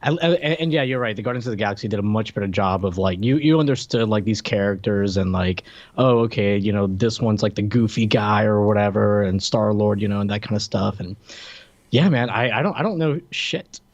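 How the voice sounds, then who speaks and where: A man's voice: lowish voice, fairly quick, no echo. A man co-hosting a podcast, giving casual, slangy movie commentary in a conversational way. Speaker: podcast co-host. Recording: dry, slightly dull lo-fi mic.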